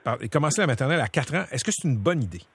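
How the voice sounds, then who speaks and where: A man's voice: medium pitch, very animated, rapid-fire, a bit bright, slightly rough, no echo. A man hosting a radio interview, asking direct questions with fillers. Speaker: radio host.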